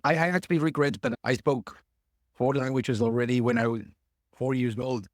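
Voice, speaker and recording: Guy being interviewed on a podcast over a call, thinks out loud, rephrases a lot, mid pitch, dry sound. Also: steady tone, no room sound. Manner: thoughtful, halting, rephrases often. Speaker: podcast guest, entrepreneur. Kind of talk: casual interview chat. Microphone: remote call.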